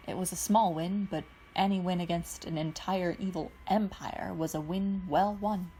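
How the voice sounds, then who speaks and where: A woman reading fan fiction aloud in a steady, even narrating manner. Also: on the low side, a little hiss or hum, poor audio. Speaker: podfic reader.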